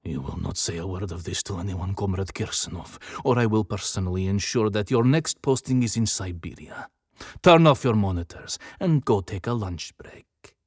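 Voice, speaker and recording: A man's voice: sits low, moderate pace, a little mellow, slightly rough, dry recording. Audiobook general: male actor as menacing Russian officer, bitter, clipped, authoritative, very dry.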